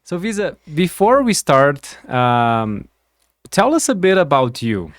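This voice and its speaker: A man's voice: fairly high pitch, sing-song, slow, no room sound, studio quality. A man from Brazil hosting an interview in person, friendly and casual, often repeating words as he asks his questions. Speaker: interview host. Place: in person at a summit.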